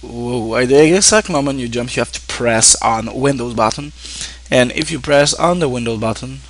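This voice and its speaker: A man from Quebec whose first language is French, speaking English with a Québécois French accent, talking casually to his viewers in a halting way with many fillers and restarts. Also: mid-range voice, a little hiss or hum. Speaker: streamer. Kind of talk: casual thank-you talk to viewers.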